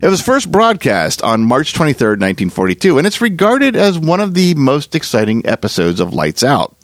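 A man's voice: on the high side, wildly swooping pitch, moderate pace, dry recording. A man hosting a podcast, reading a scripted introduction. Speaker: podcast host. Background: music.

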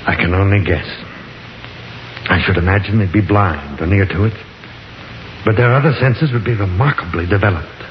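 An actor playing a grave professor who reasons slowly and theatrically in a 1950s radio horror drama. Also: male voice, low-pitched, close to an everyday voice. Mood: somber. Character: grave, reasoning male professor exploring dark caverns. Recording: hissy, dull 1950s radio recording, roomy.

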